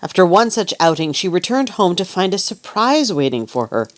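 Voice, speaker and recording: A woman's voice: on the low side, very animated, moderate pace. A woman narrator reading scripted storybook narration in an audio play.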